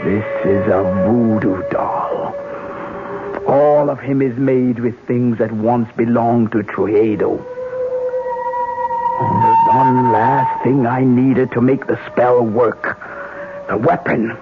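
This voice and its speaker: A man's voice: medium pitch, wildly swooping pitch, slow. An actor playing an intense man casting a deadly voodoo curse in a radio drama, with a theatrical, incantatory delivery. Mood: menacing incantation. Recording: muffled vintage radio broadcast, loud music.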